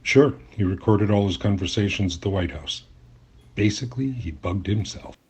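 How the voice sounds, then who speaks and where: An actor playing an earnest, curious journalist in an audio drama, speaking and narrating in a conversational, mildly skeptical way. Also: male voice, lowish voice, expressive, moderate pace, a little mellow, slightly rough. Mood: attentive. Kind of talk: audio drama acting with first-person narration.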